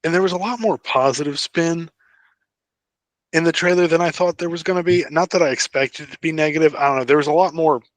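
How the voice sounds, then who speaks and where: A man's voice: on the high side, fairly quick, no echo. A man co-hosting a podcast in casual fan discussion, speaking hesitantly and often trailing off between thoughts. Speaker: podcast co-host.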